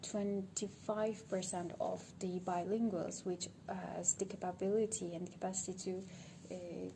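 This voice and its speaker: A woman from Turkey with a Turkish accent, a native Turkish speaker, giving an unscripted monologue for social media and thinking aloud, hesitant, with many pauses. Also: fairly low pitch, little pitch movement, creaky. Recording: background ambient noise.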